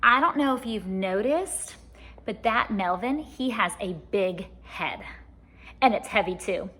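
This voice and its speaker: A woman's voice: mid-range voice, expressive, a little slow, sharp tone. A woman voicing a grumbling tooth fairy who complains in a confiding, matter-of-fact way, in a storybook reading.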